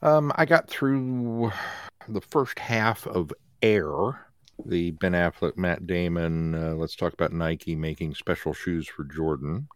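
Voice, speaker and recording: A man co-hosting a podcast, chatting casually about films in a halting, wry manner. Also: low voice, clean tone, dry recording, studio quality. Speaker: podcast host. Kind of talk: casual chat, joking film talk.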